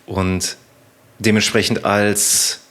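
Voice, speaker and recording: A man's voice: lowish voice, level tone, slow pace, a bit bright. A man of thirty hosting a podcast interview, asking questions hesitantly with many fillers and restarts. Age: 30s. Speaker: podcast host.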